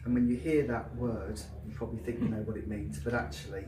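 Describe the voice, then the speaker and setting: A man's voice: lowish voice, fairly even intonation, a little fast. A man with a South London Cockney accent giving a live poetry reading, with a chatty, filler-heavy introduction and a rhythmic, emphatic recitation. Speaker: poet. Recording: roomy, distant, noisy live venue.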